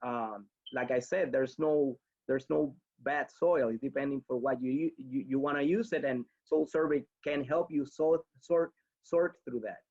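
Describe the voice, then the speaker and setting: A man, a webinar instructor answering audience questions in a halting way, with many restarts. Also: medium pitch, vocal fry, darkish tone, highs cut off. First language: Spanish.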